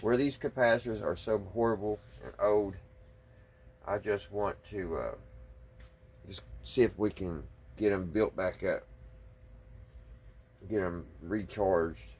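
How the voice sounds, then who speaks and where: Low, husky man, folksy. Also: fairly even intonation, darkish tone. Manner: folksy, unhurried, thinking aloud while working. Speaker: hobbyist radio restorer and video host. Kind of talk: casual hands-on workbench narration.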